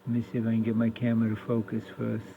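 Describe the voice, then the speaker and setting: A man talking casually through a hands-on repair demonstration, halting as he thinks aloud, recorded on a phone camera. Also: on the low side, monotone, gravelly. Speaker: radio repair hobbyist. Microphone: phone camera propped near the workbench. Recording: lo-fi phone recording with faint noise.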